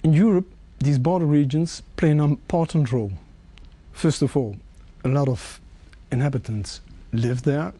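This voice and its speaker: Mellow-voiced Dutch man asking questions. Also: fairly high pitch, husky. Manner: measured, with halting restarts. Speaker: interviewer. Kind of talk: formal interview question.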